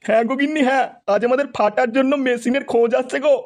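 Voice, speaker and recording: A very high, squeaky cartoon village dad, talking fast over clear music. He is a crude, blustering middle-aged husband who scolds, laments and coaxes in exclamations. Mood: placated, chatty. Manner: exclamatory, scolding then coaxing, drawn-out lamenting. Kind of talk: comedy cartoon dialogue. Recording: music behind the voice.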